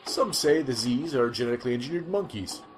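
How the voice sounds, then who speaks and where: A man's voice: medium pitch, animated, brisk, soft background music, lightly acted. A man playing an earnest futuristic news reporter in a scripted sci-fi audio drama. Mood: earnest, curious.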